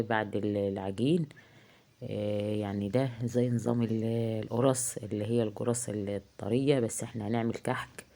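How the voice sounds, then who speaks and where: A woman with an Egyptian accent, hoarse from a heavy cold, chatting casually through a cooking tutorial in her home kitchen, halting often with drawn-out filler sounds. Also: a bass voice, mellow. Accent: Egyptian Arabic. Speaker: home cook vlogger. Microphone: phone.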